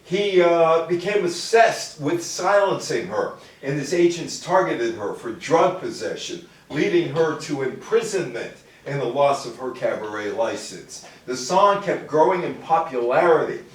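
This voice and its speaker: Male lecturer, slightly higher-pitched voice, halting talk from notes with asides, recorded far from the mic. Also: lively intonation. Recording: very echoey room, distant microphone.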